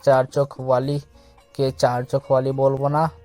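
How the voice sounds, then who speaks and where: A man's voice: mid-range voice, completely flat delivery, normal speed, a little mellow, natural voice. A man playing a stubborn, flippant young bachelor in an audio love story. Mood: cheeky. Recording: music under the voice.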